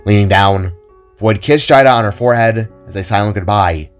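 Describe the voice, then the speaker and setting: A man's voice: fairly low pitch, a little fast. A man reading a scripted fantasy story as narrator in a dramatic style, with faint music behind.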